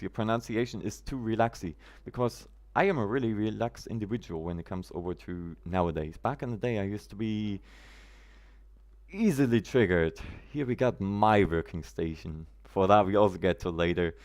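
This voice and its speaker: A man's voice: lowish voice, moderate pace, a little mellow. A man from Germany, chatting casually on a gameplay live stream, greeting viewers by name in quirky, roundabout phrasing.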